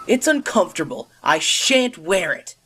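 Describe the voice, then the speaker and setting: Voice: medium pitch, very animated, measured pace, noisy background, pitched above the performer's own voice. A narrator doing a sulky stubborn boy engine. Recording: narration over loud music.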